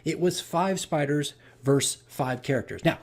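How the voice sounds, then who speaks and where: A man with a moderately high voice hosts a casual, informal diary-style vlog. He tells stories as a dungeon master in a rambling, self-deprecating manner. Key phrases get repeated for emphasis. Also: moderate pace.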